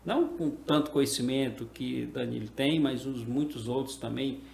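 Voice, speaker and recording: A middle-aged man from Brasília, a guest explaining things in a measured way. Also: medium pitch, creaky, a little mellow. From Brasília, Brazil. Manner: explanatory, measured, uses "tá?" tags. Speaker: guest, association president. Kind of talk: interview conversation. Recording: echoey room with faint background noise.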